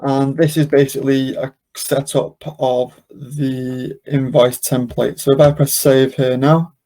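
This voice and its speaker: Mellow-voiced man giving a software walkthrough. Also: mid-range voice, flat delivery, a little slow. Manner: casual, step-by-step explaining. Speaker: webinar host and software demonstrator.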